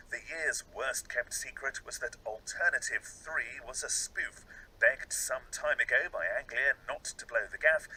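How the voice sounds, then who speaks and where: A man's voice: medium pitch, bright, forceful tone, breathy edge, poor audio. A man reading a newspaper review aloud from a script. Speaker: reader of a press review.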